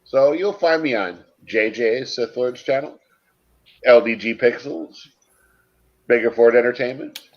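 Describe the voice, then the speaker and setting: A man with a mid-pitched, slightly raspy voice, telling stories casually among friends. His audio comes through a dull, lo-fi mic with the highs cut. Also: a little slow. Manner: easygoing storyteller. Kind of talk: casual stream chat.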